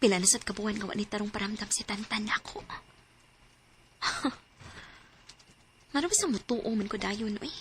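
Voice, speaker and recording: A woman's voice: medium pitch, moderate pace, pauses often, slight background noise, lightly acted. A Cebuano Filipino woman acting a lonely, hopeful separated mother in an audio drama, both in dialogue and first-person narration. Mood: pensive, murmuring.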